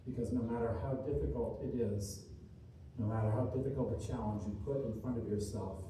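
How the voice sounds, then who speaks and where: Echoey, distant, very mellow male motivational speaker. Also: medium pitch, fairly even intonation. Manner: earnest, deliberate, short emphatic phrases with rhetorical repetition. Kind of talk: inspirational keynote speech to a live room. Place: large indoor room at a seminar. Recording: distant mic, echoey room, faint noise.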